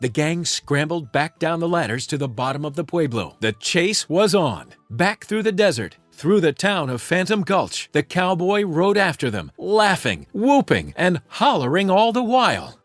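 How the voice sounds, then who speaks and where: A male storybook narrator with a slightly high voice and hugely varied intonation. He reads a children's tale aloud in a dry, close recording with music softly behind. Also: big pitch swings, normal speed, hardly any pauses, no echo.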